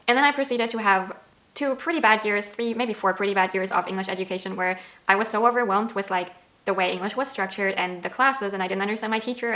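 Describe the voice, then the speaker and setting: A woman's voice: medium pitch, a bit bright. Chatty German woman in an echoey room. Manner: chatty, candid, self-deprecating. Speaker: language vlogger. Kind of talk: casual talking-to-camera vlog.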